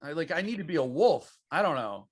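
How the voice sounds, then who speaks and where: A man's voice: fairly high pitch, very animated, fairly quick, husky. A man from the United States hosting a casual interview, chatty and self-deprecating, with frequent restarts. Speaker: podcast host.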